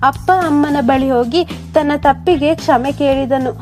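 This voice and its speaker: A woman's voice: high-pitched, fairly even intonation, unhurried. A woman reading a scripted moral story as a storyteller. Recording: music behind the voice. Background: music.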